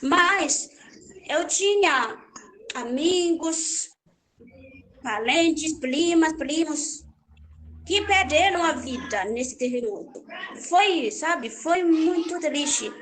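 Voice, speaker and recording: A young Haitian woman with a Haitian accent, her first language Creole, telling her life story as a church worship minister, halting and repeating phrases as she searches for words. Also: high-pitched, expressive, fairly bright tone, slight background noise, rough recording.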